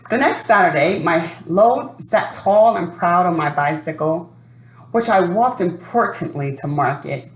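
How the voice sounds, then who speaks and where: A woman speaking deliberately and expressively as an educator doing a children's read-aloud for families. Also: low-pitched. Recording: echoey room with faint background noise.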